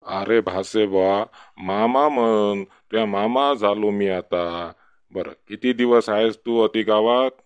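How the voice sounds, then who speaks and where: Man playing low-voiced, boisterous village drunkard uncle. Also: takes it slowly, clear, resonant tone, no echo, dull audio. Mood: pushy, familiar. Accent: Varhadi Marathi (Vidarbha). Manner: rambling, cajoling, repetitive tag questions. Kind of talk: comic village cartoon dialogue.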